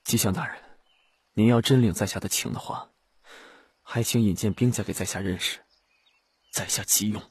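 A man's voice: fairly low pitch, steady tone, moderate pace, pauses often, husky, no room sound, studio quality, pitched above the performer's own voice. A man voicing a weary, stubborn young Taoist, earnest and grim, in an audiobook. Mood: low, urgent.

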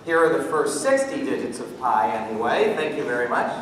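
A middle-aged American man giving a live talk on stage and taking audience questions, animated and jokey as he thinks aloud. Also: medium pitch, fairly quick. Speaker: guest speaker, mathematician performer. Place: theatre stage, indoors. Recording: echoey hall from far off, noisy.

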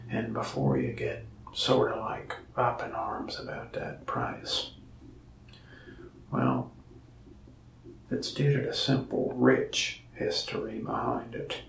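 A young man playing a lonely, friendly mask shop salesman in a soft-spoken ASMR roleplay, talking slowly and often slipping into a whisper. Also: lowish voice, big pitch swings, reverberant, far from the mic, a little hiss or hum, exaggerated voice, rough recording. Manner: slow, soft-spoken, gravelly with frequent whispered stretches. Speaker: ASMR creator. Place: small indoor room, mask wall behind.

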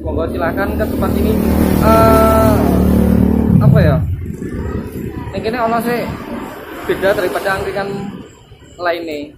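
A man with a Javanese accent hosts a casual vlog in a notably high voice. He speaks haltingly, searching for words as he goes. Loud traffic noise surrounds him at an outdoor stall. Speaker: motovlog host. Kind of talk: casual vlog talk to camera. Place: outdoor street-side food stall at night. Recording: very noisy street, passing vehicles.

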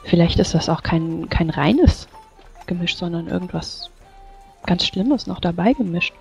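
A man's voice: sits high, lively intonation, unhurried, gravelly. A man roleplays at a tabletop game in a tentative, questioning way. Faint music plays behind the voice. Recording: voice over background music.

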